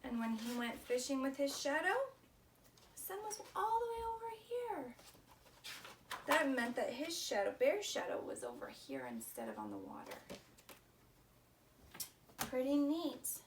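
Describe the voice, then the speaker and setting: A woman's voice: high voice, very animated, unhurried, long pauses. A woman leading a children's story time, reading expressively in simple phrases and asking young listeners questions. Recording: distant mic with faint background noise.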